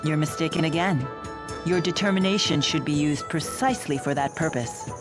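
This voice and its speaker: An actress as stern young warrior woman, low voice, composed, direct, formal, game dub with music. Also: moderate pace, lightly acted. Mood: firm, composed. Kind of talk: English game dub acting. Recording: game soundtrack music behind the voice. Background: background music.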